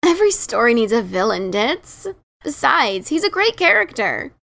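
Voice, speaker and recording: A brash pony adventurer acted by a woman with a scratchy, gravelly edge. She talks in a cocky, informal, teasing way for a dramatic story reading. Her fairly high pitch swings around freely. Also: very animated, moderate pace, a bit bright, full character voice. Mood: defensive.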